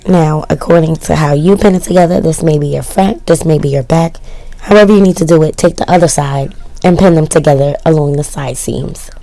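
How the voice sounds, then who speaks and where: Low, gravelly woman with a worn voice. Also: brisk, mellow, no echo. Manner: chatty, self-mocking, shrugging asides. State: voice worn from yelling, cracking. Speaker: sewing vlogger. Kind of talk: casual DIY voice-over narration.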